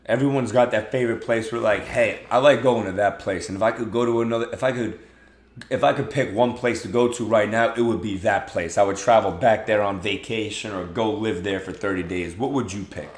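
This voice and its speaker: A man's voice: lowish voice, brisk, reverberant. A man hosting a podcast, asking questions casually and encouraging the guest with frequent backchannels. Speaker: podcast host. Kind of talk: interviewing, casual.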